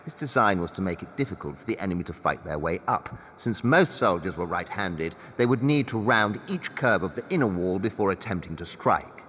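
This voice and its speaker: A man's voice: sits low, lively intonation, fairly quick, few pauses, fairly bright tone, husky, long reverb tail, full character voice. A man playing a brilliant, smug master detective in an audio drama, speaking with pompous, theatrical precision. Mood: confident, explaining.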